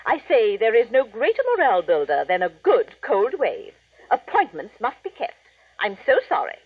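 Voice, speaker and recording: A thin old radio recording of a pompous female salon owner. Her affected voice swoops widely, slightly husky, coolly condescending in vintage radio drama fashion. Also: fairly high pitch, very animated, moderate pace, no echo, narrow, tinny audio. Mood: haughty, cool. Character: haughty, gushing female beauty salon owner. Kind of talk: 1940s radio acting.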